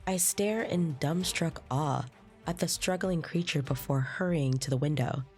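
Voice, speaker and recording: An actress plays a young female trainee telling her own tale in an audio drama. Her voice is distinctly low for a woman. The scripted narration is earnest and descriptive, with music playing behind it. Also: a little slow, a little mellow. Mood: awed, warm. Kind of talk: first-person scripted story narration.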